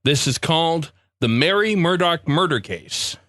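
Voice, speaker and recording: Guy hosting a podcast, scripted intro, animated intonation, very dry room. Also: on the high side, a little slow, no echo. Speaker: podcast host.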